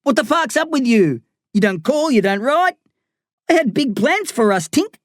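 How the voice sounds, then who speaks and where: A man's voice: extremely high pitch, sing-song, normal speed, no room sound, studio quality, voice pushed up. A man doing a mocking gangster. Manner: swaggering, taunting, swears a lot. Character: volatile young male drug boss, menacing and mocking. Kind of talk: audiobook character voice.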